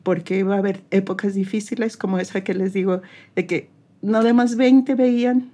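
Woman, Mexican accent, mellow tone, earnest, encouraging, interview guest. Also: medium pitch, fairly even intonation, moderate pace. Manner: earnest, encouraging, faith-driven advice. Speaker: English teacher, guest. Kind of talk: interview conversation.

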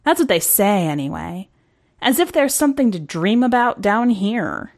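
A woman's voice: mid-range voice, sing-song, moderate pace, darkish tone. A woman voicing a blunt, dismissive, world-weary young city girl in an audiobook story. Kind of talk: audiobook character dialogue.

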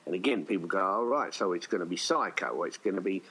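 A man's voice: deep voice, animated, fast, sharp tone, slightly rough. A middle-aged man chatting casually as an interview guest, talking in a rambling, conversational way that often circles back. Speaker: guest filmmaker being interviewed.

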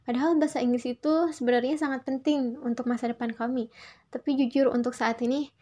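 A young woman with a fairly high voice speaks as a student presenting to classmates. Her delivery is polite and formal, and she often restates a phrase in slightly different words. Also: steady tone, fast talker, dark tone. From Indonesia. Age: young adult. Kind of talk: prepared spoken class response.

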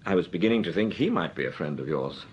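A man's voice: low voice, expressive, quick pace, a little hiss or hum. An actor playing a cool-headed, ironic male amateur detective in a radio drama. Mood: dry. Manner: dry, ironic. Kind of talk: 1940s radio acting.

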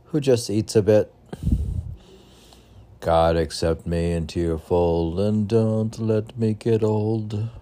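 A man reading his own poetry, with rambling casual asides in an unhurried, halting, wry way. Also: sits low, mellow. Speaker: poet reading own work.

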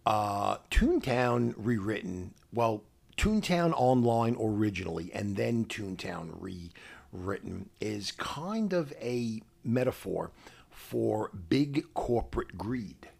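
A man with a New York accent co-hosts a casual podcast. His voice is husky and fairly low, with a heavy creak to it. He explains things in a halting, measured way. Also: lively intonation, a little mellow. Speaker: podcast co-host.

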